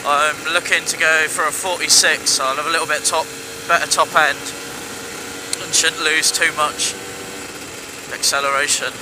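A young man from England chatting casually to viewers from a helmet camera while riding a dirt bike, relaxed and rambling. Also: fairly high pitch, normal speed. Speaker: motovlogger. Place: riding a dirt bike on wet country roads. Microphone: helmet-mounted camera mic. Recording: helmet cam with engine and wind noise. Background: engine and wind noise.

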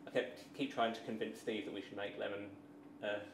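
A man, a dairy worker, casually explaining his work in an unhurried, modest, informal way. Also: lowish voice, little pitch movement. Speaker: dairy skyr maker. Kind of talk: casual explanation while working. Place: small dairy production room, indoors. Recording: echoey room with clear machinery noise.

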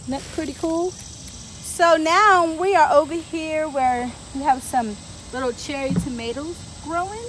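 A woman in her thirties with a somewhat high voice reads a picture book to young children, then walks them through a vegetable garden. She keeps asking them questions in a friendly teaching way. She was recorded outdoors by a camera a few feet away, with a steady hum of insects behind her. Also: a little slow, rough recording. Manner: lively, talks straight to kids with questions. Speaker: garden educator reading to children. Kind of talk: children's story time read-aloud and garden tour. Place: outdoor garden, seated on a bench then walking among plants.

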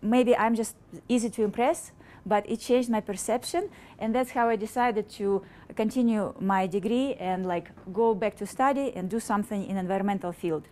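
A middle-aged woman from Ukraine, speaking English with a Ukrainian accent, gives a public talk in halting, informal phrasing, using a handheld mic in a store event room before a small audience. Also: medium pitch, a little mellow. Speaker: guest speaker.

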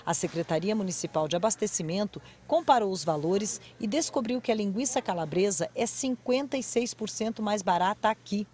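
A woman, narrating a news report in a measured way, reading out prices and percentages. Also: medium pitch, lively intonation, a little mellow. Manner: measured, figure-heavy narration. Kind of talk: scripted news voice-over.

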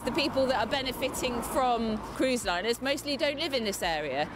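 A woman's voice: fairly high pitch, expressive, moderate pace, over music. A woman doctor speaking earnestly about how pollution affects her patients. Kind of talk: interview.

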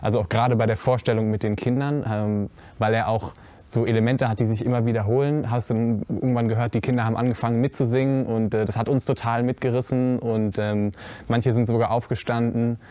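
A young man, an actor in a musical theatre piece, answers interview questions haltingly with many fillers and restarts, seated on a cinema stage. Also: mid-range voice, steady tone, mellow, no room sound. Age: young adult. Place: cinema auditorium stage before a curtain.

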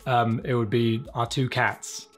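A man's voice: medium pitch, flat delivery, a little slow, soft background music. A man in his thirties from South Wales chatting casually and self-deprecatingly as an interview guest, with informal, sweary talk. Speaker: interviewed illustrator. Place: small room, home studio indoors.

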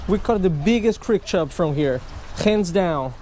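A man's voice: sits high, expressive, a little slow, a little mellow, slight background noise. A man in his thirties talking to camera beside a creek while fishing, exclaiming over each catch and chatting to his viewers. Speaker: fishing vlogger. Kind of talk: casual vlog narration to camera. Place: outdoors by a wooded creek. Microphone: camera mic, handheld or chest-mounted.

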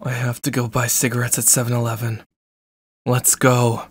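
A man's voice: medium pitch, completely flat delivery, moderate pace, slightly rough, lightly acted. A man playing a jealous, controlling stalker in an ASMR roleplay, with a cold, clipped, threatening delivery. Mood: controlled threat. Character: jealous, controlling, menacing young male stalker.

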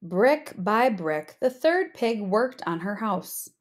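Slow, expressive woman reading a storybook. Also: mid-range voice. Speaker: storybook reader, teacher. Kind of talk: expressive children's read-aloud narration.

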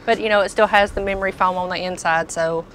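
A woman's voice: medium pitch, steady tone, fast, a bit bright, faint music behind, poor audio. A woman, casually showing products as a tool dealer inside a packed truck. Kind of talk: casual product walk-through chat.

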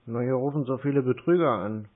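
A man's voice: mid-range voice, fairly quick. A man in a phone conversation, answering in a terse, unimpressed and skeptical way. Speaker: call recipient questioning telemarketers. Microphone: telephone call. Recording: narrow, tinny phone line.

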